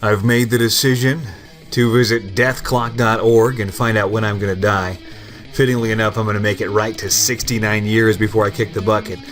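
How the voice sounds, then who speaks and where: A man's voice: fairly low pitch, fairly even intonation, moderate pace, fairly bright tone, faint music behind. A man in his twenties hosting a programming lesson, explaining code in a casual, joking, self-deprecating way. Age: 20s. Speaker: programming tutorial host. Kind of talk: screen-recorded coding lesson.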